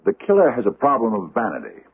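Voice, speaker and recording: Pompous old judge, low n gravelly-husky, old muffled radio show sound. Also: male voice, huge pitch swings, quick pace, exaggerated voice. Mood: brooding, musing. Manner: hesitant, formal, ponderous phrasing. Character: aging pompous judge, ponderous, secretive and grumbling. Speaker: actor in radio drama. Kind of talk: old-time radio drama acting. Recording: muffled, band-limited vintage radio recording.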